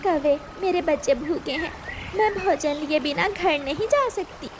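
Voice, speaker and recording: A woman's voice: high voice, unhurried, noisy background. A woman voicing a caring, earnest mother bird in a children's cartoon story, speaking sweetly and politely. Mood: earnest pleading.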